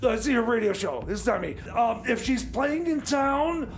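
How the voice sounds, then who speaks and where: A man's voice: extremely high pitch, animated, moderate pace, creaky, a little hiss or hum, heavily acted. A man voicing a flustered male festival booker who stammers and hesitates. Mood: anxious. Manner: stammering, hesitant, full of fillers.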